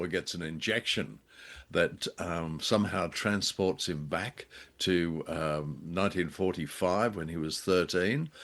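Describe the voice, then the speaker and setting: A man co-hosting a podcast, giving critique in a halting, deliberate way. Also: sits low, fairly bright tone. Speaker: podcast co-host. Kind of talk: casual discussion and critique.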